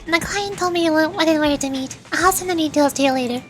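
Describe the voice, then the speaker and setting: A woman's voice: high voice, a little fast, natural voice. A woman voicing a perky, scheming young cat girl mercenary, chatty and cajoling, reading game dialogue. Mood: persuasive, upbeat. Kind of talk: video game dialogue read aloud. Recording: loud music behind the voice. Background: game music.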